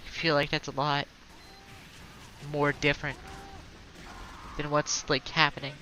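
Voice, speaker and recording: A woman's voice: low voice, little pitch movement, measured pace, bright, forceful tone, a little hiss or hum, rough recording. A woman streaming a game in casual, rambling chatter, slightly stuttering and congested from allergies. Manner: rambling, heavy on fillers and restarts.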